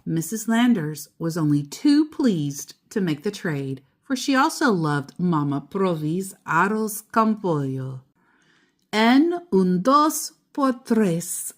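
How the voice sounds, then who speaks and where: Slow, expressive woman reading a children's story. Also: fairly low pitch. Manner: deliberate, expressive emphasis on key words. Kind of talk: children's picture book read-aloud.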